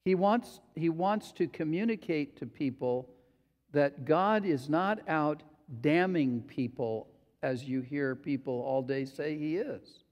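A male pastor preaching a sermon in a slow, deliberate way, sometimes acting out quotes. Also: on the high side, darkish tone, dull audio. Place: church sanctuary. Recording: long hall reverb.

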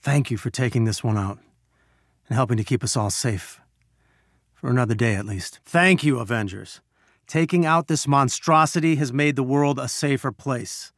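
An actor playing an earnest, brainy scientist and weary resistance leader, giving articulate, measured mission briefings in a video game. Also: male voice, mid-range voice, fairly bright tone, dry recording, clean studio sound. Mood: grateful, resolute.

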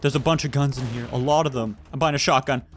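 A young man chatting casually and excitably as he hosts gameplay with light roleplay. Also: medium pitch, fast. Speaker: gaming video host. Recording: game music behind the voice. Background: game music.

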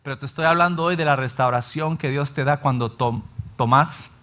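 A Salvadoran pastor in his thirties preaching an animated sermon from a church stage, often acting out his listeners' complaints. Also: mid-range voice, moderate pace. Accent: Salvadoran Spanish. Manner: animated, gestures widely, acts out listeners' complaints. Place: church stage in front of a large screen.

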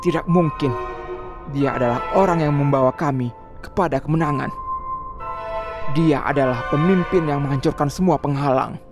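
A high-pitched male president from a horror cartoon, voiced by an actor. He is dramatic and agitated, a proud man easily shaken, over loud music. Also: moderate pace, pauses often, natural voice. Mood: disbelieving. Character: male president, proud then frightened and submissive. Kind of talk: horror animation dubbing. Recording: loud music behind, crowd noise.

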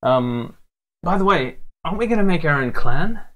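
A man's voice: a little high, lively intonation, moderate pace, bright, forceful tone. A man chatting casually and telling stories in a jokey, rambling way while gaming as co-host. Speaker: gamer co-hosting a let's play. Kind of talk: casual gaming banter and storytelling. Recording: background noise with controller clicks.

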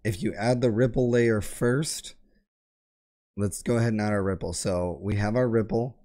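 Hoarse young man with a sore throat. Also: fairly low pitch, moderate pace. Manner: relaxed explaining, frequent throat clearing. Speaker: tech tutorial host. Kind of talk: casual screen-share tutorial. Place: home gaming room. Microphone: boom mic close to face, headset on.

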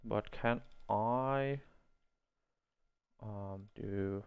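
A man's voice: on the low side, drawn-out words, clean tone, narrow, tinny audio. A man who is not a native English speaker gives rambling, think-aloud commentary while playing an online role-playing game, chuckling at his own mistakes. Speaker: gameplay video host.

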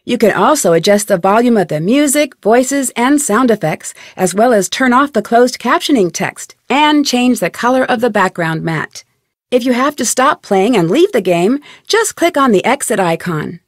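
A woman playing a chipper, curious young detective, giving friendly, clearly articulated tutorial narration for a game. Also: a little high, lively intonation, normal speed, dry recording, clean studio sound. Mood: friendly, instructive.

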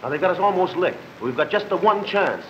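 A man's voice: high-pitched, lively intonation, fairly quick. A man playing an anxious, pleading, slangy sidekick in an old film drama. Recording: dull old film soundtrack, clear noise.

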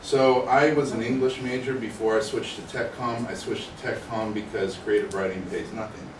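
A man's voice: medium pitch, fairly even intonation, normal speed. A middle-aged man speaking informally to a class as a guest speaker, putting questions to the listeners. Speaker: guest speaker, content writer. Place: small classroom, indoors. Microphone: distant camera microphone. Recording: echoey room, distant mic, clear noise.

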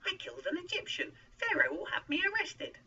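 A man's voice: very high-pitched, lively intonation, brisk, creaky, sharp tone, distant mic, muffled recording, slight background noise, pitched above the performer's own voice, exaggerated voice. A man voicing a frightened, reluctant shepherd who stammers and protests in a dramatized Bible story. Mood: anxious protest. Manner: stammering, exclaiming, pleading.

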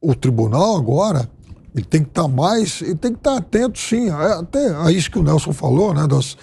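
A man's voice: a little high, sing-song, moderate pace, gravelly, warm tone, husky. A middle-aged man commenting on a TV news panel in a studio, thoughtful and hesitant with restarts. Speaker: political commentator.

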